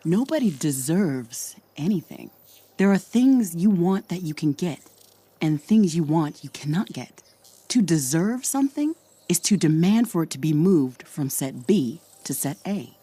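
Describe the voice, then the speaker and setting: A cold, dismissive philosopher villain in a video game. Her voice is deep and husky for a woman, darkly mellow, delivering cynical lectures. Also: animated, measured pace, no room sound, exaggerated voice. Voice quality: low, dark, husky.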